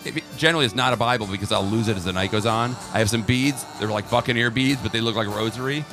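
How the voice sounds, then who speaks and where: A man co-hosting a talk show, bantering and telling jokes in a loose, animated way. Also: medium pitch, quick pace, over music. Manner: joking, animated storyteller. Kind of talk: casual banter.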